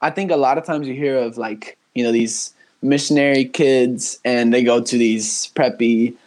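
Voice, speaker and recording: A man's voice: mid-range voice, fairly even intonation, normal speed, darkish tone. A young man in his twenties, raised in Honduras, talking casually and earnestly as a podcast guest, with frequent fillers and restarts. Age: 20s. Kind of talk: casual conversational interview.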